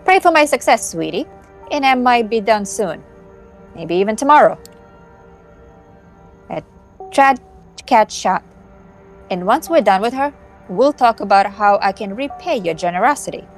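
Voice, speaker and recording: A woman's voice: on the high side, lively intonation, unhurried, pauses often, soft background music. A woman playing a breezy, flirty, confident hacker who talks casually and familiarly in an amateur visual novel drama. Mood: breezy, teasing.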